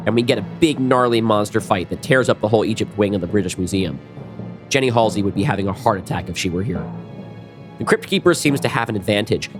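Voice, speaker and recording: Lower-pitched casual male drama narrator.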